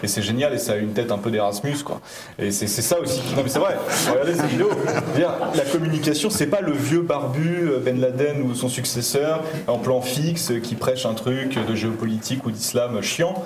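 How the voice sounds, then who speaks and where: A man's voice: mid-range voice, fairly quick, background noise. Insistent man in a roomy studio. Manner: insistent, repeats himself when interrupted. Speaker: debate guest, lecturer. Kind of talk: heated TV panel debate.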